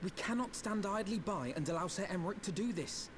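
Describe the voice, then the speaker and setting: A resolute, loyal companion in a fantasy game. He speaks formally and earnestly in a fairly high, lively voice, with clear noise behind the game audio. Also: fairly quick. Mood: earnest resolve. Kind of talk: video game drama voice acting.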